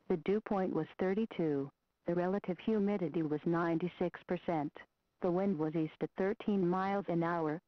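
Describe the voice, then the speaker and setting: A female weather radio voice listing current conditions in a flat, even way. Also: lowish voice, dry recording. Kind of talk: automated weather observations readout. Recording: dry, lo-fi radio recording.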